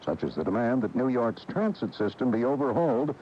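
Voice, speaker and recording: A man's voice: medium pitch, sing-song, brisk. An American man reading scripted news as a radio anchor. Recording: dull radio broadcast with faint noise.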